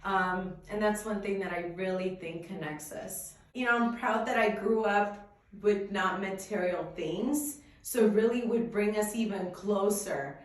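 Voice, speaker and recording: A woman's voice: medium pitch, a little slow. A woman speaking earnestly in an interview, circling back over the same words. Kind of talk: casual interview answer. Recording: very echoey room, distant mic.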